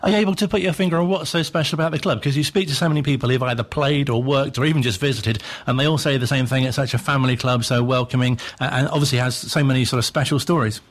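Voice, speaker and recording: A man's voice: medium pitch, quick pace, few pauses. A man presenting and interviewing on a sports radio show, with a loose, hesitant delivery.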